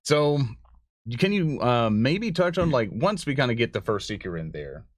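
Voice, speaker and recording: A man's voice: mid-range voice, very animated, normal speed, no echo, clean studio sound. A man hosting a live stream in casual chat, with loose, informal, rambling phrasing. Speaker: stream host.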